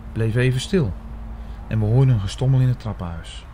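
A man playing a puzzled, suspicious investigator who narrates his story in a measured, reflective way in an audio drama. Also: mid-range voice, background noise, close to an everyday voice. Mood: reflective, doubtful. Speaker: narrator and lead actor.

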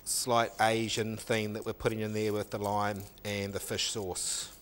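A man's voice: on the low side, level tone, measured pace, fairly bright tone, slight background noise. A middle-aged man, a chef in a teaching kitchen, explaining each step of a recipe while he cooks, on a lapel mic. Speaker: cooking show chef. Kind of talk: cooking demonstration.

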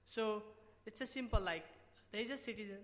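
A young man from Nepal with a Nepali accent, giving a hesitant pitch talk from a podium mic in a large conference hall, full of restarts and fillers. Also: brisk, a bit bright. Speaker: award presenter. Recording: reverberant hall.

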